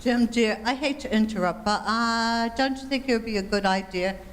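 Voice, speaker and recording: A woman's voice: a little high, moderate pace, close to an everyday voice. A woman playing a patient, politely coaxing housewife and mother in an amateur 1940s-style radio comedy. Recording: reverberant hall, faint background noise.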